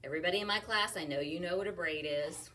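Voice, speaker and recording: A woman teacher talks her class through a picture book in a slow, playful and expressive way, sounding out rhyming words. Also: lowish voice, recorded from a distance. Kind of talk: read-aloud story discussion for young children.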